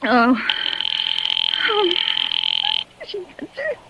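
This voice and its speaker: A woman's voice: very high-pitched, sing-song, extremely slow, lo-fi sound, heavy background noise, exaggerated voice. An actress playing a haughty, terrified wealthy woman stuck in bed, in 1940s radio drama style, stammering and breaking into sobs. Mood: haughty scorn. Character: frantic bedridden wealthy woman, imperious, terrified.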